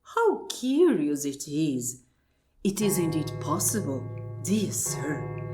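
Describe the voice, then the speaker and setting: A woman's voice: deep voice, big pitch swings, takes it slowly, over music, exaggerated voice. A woman playing a genteel, wonderstruck married lady in an absurdist radio play, formal and exclamatory, repeating her polite phrases. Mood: bemused. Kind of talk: absurdist stage dialogue played as radio drama.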